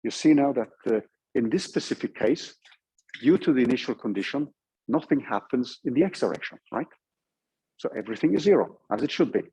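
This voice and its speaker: A male university lecturer with a Norwegian accent talks in an informal, explanatory way. His voice is mellow and rather husky, at a middling pitch. He walks through the material step by step, checking in as he goes. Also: animated, fairly quick, low-quality recording. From Norway.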